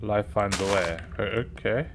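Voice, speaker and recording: A hoarse man streaming a video game, rambling to himself in casual commentary as he plays. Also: lowish voice, a little slow, background noise. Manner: rambling, talking to himself while playing.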